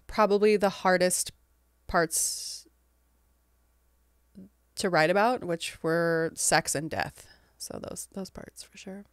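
A woman in her thirties, from England but with an American accent. She is a guest author in a casual interview, soft-spoken, laughing often and trailing off. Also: fairly low pitch, fairly even intonation, slow, long pauses, clear, resonant tone, no room sound, clean studio sound.

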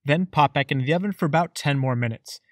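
A man's voice: on the high side, fast talker, noisy background. A man narrating a cooking lesson in a scripted voice-over with a playful, jokey tone. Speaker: cooking show host.

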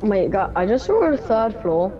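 A woman's voice: medium pitch, big pitch swings, normal speed. A woman chatting casually while playing a game with friends and reading prompts aloud. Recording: muffled voice chat under loud game music.

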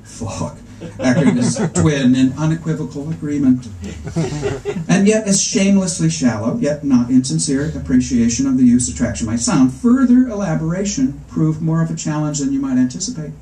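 A middle-aged man giving a live literary reading, with a wry, arch and measured storytelling manner. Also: a little high, warm tone, a little hiss or hum. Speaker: author reading at a book event. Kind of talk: live literary reading of first-person comic prose. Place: indoor bookstore reading before a seated audience. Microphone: stand microphone, recorded from the audience seats. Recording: echoey room, public reading in a bookstore.

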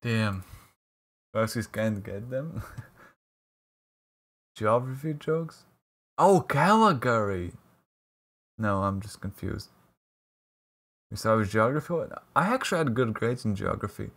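Streamer: man from Latvia, husky voice, playful and jokey with his chat. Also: on the low side, animated, slow, pauses often, a bit bright. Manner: jokey, laughs often, mock-protesting at chat teasing. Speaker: livestream caster. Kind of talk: casual live-stream chat with viewers.

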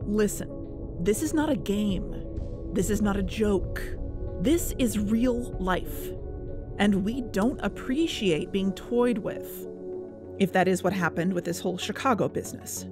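An actress playing an intense, serious investigator who co-hosts a podcast in an audio drama. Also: female voice, medium pitch, lively intonation, unhurried, over music, lightly acted. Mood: stern, intense. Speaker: podcast co-host character and investigator.

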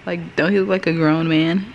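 A woman's voice: fairly low pitch, fairly even intonation, normal speed, highs cut off. A young adult American woman vlogging, chatting casually to the camera in a bedroom at home. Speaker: vlogger and stay-at-home mother. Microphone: camera at arm's length. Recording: clearly noisy room ambience.

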